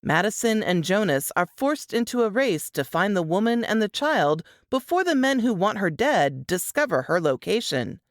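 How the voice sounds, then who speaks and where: A woman narrates a scripted book blurb. Her pitch moves in a lively way around a middle register, and the recording is close and dry, free of room sound. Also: normal speed, hardly any pauses, no room sound.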